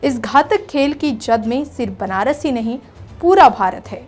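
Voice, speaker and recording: High-pitched woman reading scripted news. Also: animated, measured pace, darkish tone. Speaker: news presenter. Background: background music.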